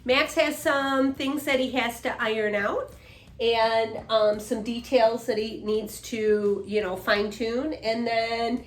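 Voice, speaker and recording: A woman's voice: on the high side, steady tone, takes it slowly. Rambling woman in her fifties, echoey room. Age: 50s. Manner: rambling, many restarts and fillers. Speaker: co-host, mother. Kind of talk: casual chat. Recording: echoey room, faint music.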